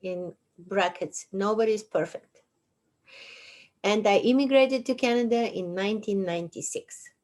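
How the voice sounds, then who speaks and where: A woman in her sixties with a Bulgarian accent, host of her own show, reading her memoir aloud slowly and deliberately with dry humor. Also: medium pitch, a little mellow. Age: 60s. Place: at home on a couch.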